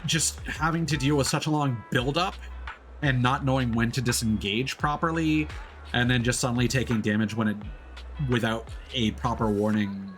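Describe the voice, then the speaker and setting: A man giving casual, off-the-cuff commentary as a livestreamer, thinking aloud in a halting way. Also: medium pitch, fairly even intonation, fairly bright tone, faint music behind. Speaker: livestreamer, gamer. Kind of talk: casual gaming stream commentary.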